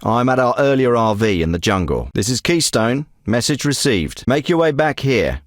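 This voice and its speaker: A man's voice: mid-range voice, very animated, moderate pace, no room sound, close to an everyday voice. A man voicing a middle-aged special forces handler in a video game, giving terse, businesslike briefings. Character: clipped, steady male military handler, middle-aged. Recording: dry close studio recording.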